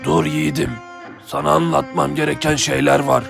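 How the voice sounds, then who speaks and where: A loyal old warrior servant acted by a man speaks solemnly with heavy creak in a slightly high voice. He sounds earnest, a cartoon elder with music underneath. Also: little pitch movement, normal speed, fairly bright tone. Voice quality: gravelly. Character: loyal old retainer revealing the past to young hero. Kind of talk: animated adventure voice acting. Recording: music behind the voice.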